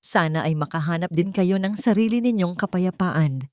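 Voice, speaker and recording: Calm, resolute woman, acted by a female narrator. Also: lowish voice, moderate pace, no echo, clean studio sound, close to an everyday voice. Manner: calm, clear, firm. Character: calm, resolute woman forgiving yet firmly parting. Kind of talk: audio storybook drama.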